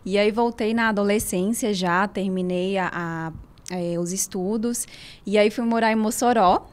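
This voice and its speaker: A woman with a Northeastern Brazilian accent answers interview questions as a physiotherapist. Her pitch sits in a middle range for a woman. She explains in a measured, steady way and keeps tacking tag questions onto the ends of her sentences. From Brazil, Ceará. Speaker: guest physiotherapist.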